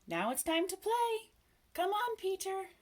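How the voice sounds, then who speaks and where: A woman voicing an eager, playful little boy in a storybook, excited and urging. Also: mid-range voice, measured pace, breathy edge. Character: eager, playful little boys of a tiny berry folk. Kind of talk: storybook character voice.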